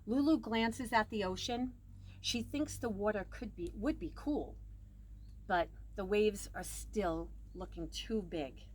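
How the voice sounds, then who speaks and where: A woman's voice: mid-range voice, slow pace, poor audio. A woman reads a children's picture book aloud expressively, leaning on key words and varying her volume. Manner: expressive, with emphasized words and varied dynamics. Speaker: storybook reader. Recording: clear background noise.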